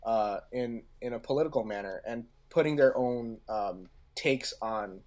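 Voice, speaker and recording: Male podcast co-host, medium pitch, unhurried and halting delivery, conversational discussion style. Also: a little mellow. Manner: halting, pausing mid-phrase.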